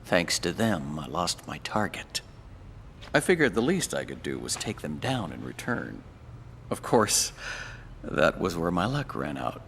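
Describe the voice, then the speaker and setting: An actor playing a cynical, world-weary fugitive mercenary pilot, wry and fatalistic, with a gravelly voice, in a dramatic game monologue. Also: male voice, low-pitched, a bit bright, full character voice. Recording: reverberant room with background noise.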